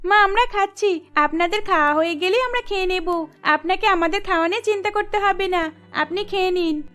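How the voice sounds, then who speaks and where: A woman's voice: very high voice, brisk, a bit bright. A woman voicing a caring, earnest young daughter-in-law from a poor village family in a Bengali cartoon, speaking respectfully. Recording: background music under voice.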